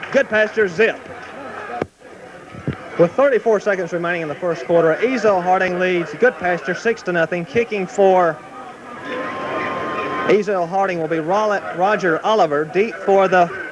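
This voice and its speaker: A young man calls a high school football game at night in an outdoor stadium, keeping an even play-by-play and breaking into excited shouts on the big runs. His voice sits high for a man. The amateur 1970s tape carries a lot of hiss and noise under him. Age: young adult. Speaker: play-by-play announcer. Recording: noisy amateur 1970s recording.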